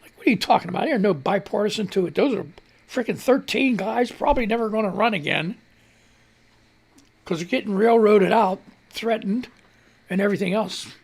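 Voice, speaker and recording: A man hosting a casual live radio news show, reading posts aloud and ranting about them with sarcasm and the odd swear word. His voice is gravelly, and he keeps holding back a cough. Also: high-pitched, a little fast. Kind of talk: casual political commentary, reading and reacting to posts. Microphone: studio mic on a live stream.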